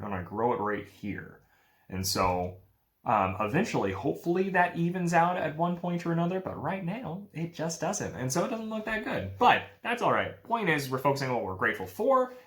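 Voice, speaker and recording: A man's voice: mid-range voice, a bit bright. A young man talking casually to camera in a vlog, upbeat and rambling, with many fillers. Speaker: real estate agent vlogger.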